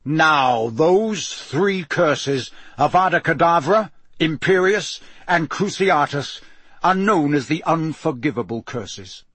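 A man voices a battle-hardened old wizard teacher who growls and barks his warnings, his pitch leaping far up when he roars. The voice is husky and sits close and dry in the ear, as in a storybook reading. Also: a bit bright, no echo, full character voice. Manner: barking, growling, drilling warnings. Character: gruff battle-scarred old male wizard teacher, fierce.

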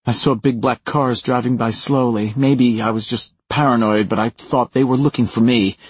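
A man voicing a haunted, defensive woman in an audiobook, urgent and halting, with a raised husky voice. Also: mid-range voice, fairly even intonation, dry recording, voice pushed up, lightly acted. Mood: uneasy, confiding. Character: haunted, defensive woman recounting a traumatic night. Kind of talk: audiobook character dialogue.

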